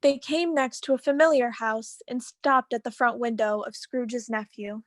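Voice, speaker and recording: A woman's voice: fairly high pitch, steady tone, moderate pace, no room sound, clean studio sound. A teenage woman narrating a radio play from a script.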